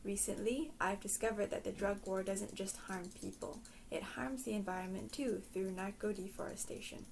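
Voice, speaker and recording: A woman from Singapore giving a scripted explanatory talk in a measured way. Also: medium pitch, fairly even intonation, gravelly, a little mellow. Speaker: presenter. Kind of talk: scripted educational narration.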